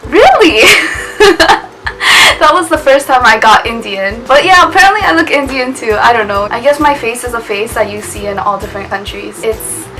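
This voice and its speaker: A woman's voice: on the high side, lively intonation, moderate pace, pushed and bright, low-quality recording. A young woman chatting casually to camera in a vlog, laughing often and trailing off with little "I guess" asides. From United States. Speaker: vlogger. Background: music.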